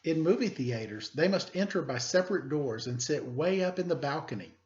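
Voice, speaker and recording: Man, slightly high voice, teacher reading aloud, deliberate emphasis, casual asides, muffled lo-fi sound. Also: animated. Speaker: elementary teacher reading a picture book aloud.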